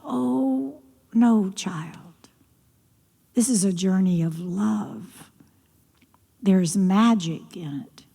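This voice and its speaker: A woman's voice: medium pitch, lively intonation, unhurried, dark tone, in a large hall, natural voice. A woman voicing a frail, sick queen who speaks in a weak whisper. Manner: whispering weakly.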